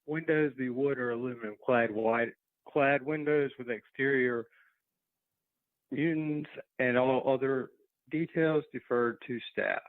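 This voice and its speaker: A man's voice: mid-range voice, measured pace, no room sound. A man serving as a commissioner, speaking formally in a meeting. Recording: dull, thin phone-like call audio.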